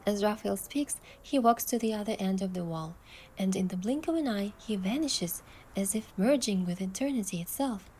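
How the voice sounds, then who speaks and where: A young woman gently narrating a romantic story in the first person as its heroine, in a soft, hushed voice. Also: medium pitch, expressive, moderate pace, a little hiss or hum.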